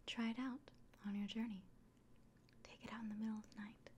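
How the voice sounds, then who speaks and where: A kindly elven innkeeper played by a woman speaks in a soft, breathy whisper in an ASMR fantasy roleplay. She is earnest and reassuring, keeping an even, narrow melody as she explains things unhurriedly. A faint ambient noise sits behind her. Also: fairly high pitch, a little fast, close to an everyday voice. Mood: gentle, reverent.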